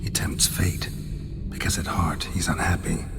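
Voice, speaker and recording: A male actor voicing a gruff, weary monster hunter, speaking tersely and often half-whispered. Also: very deep voice, flat delivery, a little slow, over music, full character voice. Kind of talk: video game cutscene voice acting. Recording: reverberant with background noise.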